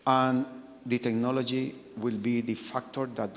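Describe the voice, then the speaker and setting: A man with a Latin American Spanish accent, speaking haltingly as a banking panelist and often confirming his own phrases. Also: mid-range voice, flat delivery, slight background noise. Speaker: bank board president, panelist. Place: conference hall. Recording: echoey hall with long reverb.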